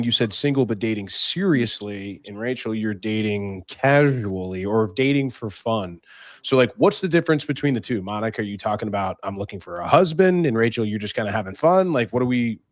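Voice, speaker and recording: A man's voice: on the low side, animated, brisk, no echo. A man hosting a casual panel show, chatty and loose, often correcting himself. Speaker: host.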